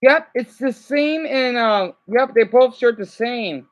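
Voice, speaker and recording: A man's voice: very high voice, slow, highs cut off. A man making a casual reaction vlog who chats along and stumbles over foreign words. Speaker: vlogger reacting to a video.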